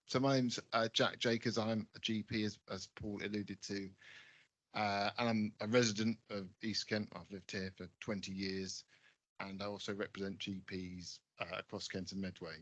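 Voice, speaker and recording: A slightly hoarse man with a low, husky voice asks a hesitant, concerned question from the audience at a public meeting. The sound is dry and close. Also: little pitch movement, normal speed, a bit bright, no room sound, rough recording. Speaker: GP asking a question.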